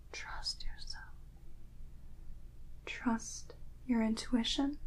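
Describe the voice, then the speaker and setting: A woman's voice: fairly high pitch, steady tone, breathy edge, exaggerated voice, poor audio. A woman playing a serene, encouraging mystic priestess from a tarot deck, repeating reassurances in a whispered ASMR roleplay. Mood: serene, reassuring. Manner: slow, repetitive, reassuring.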